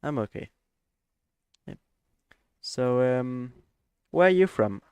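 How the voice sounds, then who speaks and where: Slow, hesitant man. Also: medium pitch, animated, darkish tone, clean tone, no echo. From Denmark. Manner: rambling, hesitant, many fillers. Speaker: flight sim streamer. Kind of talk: live stream gameplay chat.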